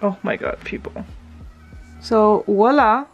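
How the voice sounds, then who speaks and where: Female vlogger, relaxed slow delivery, muttering through a home fix-up over background music. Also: medium pitch, dull audio. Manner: thinking aloud while fitting drawer knobs. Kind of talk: casual DIY vlog talk. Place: indoors at home.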